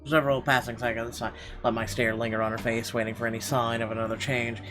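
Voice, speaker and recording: A man with a mid-pitched voice reads story narration aloud in an expressive, dramatic way. He stumbles now and then, and guitar music plays clearly behind him. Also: fast. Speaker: gamer reading a visual novel aloud.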